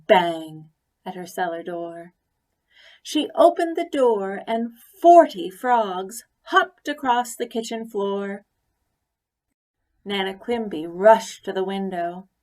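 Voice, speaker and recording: A woman reads a picture book aloud in a medium-pitched voice. She takes it very slowly and deliberately, pausing to give words emphasis in an expressive storytelling style. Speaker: story reader.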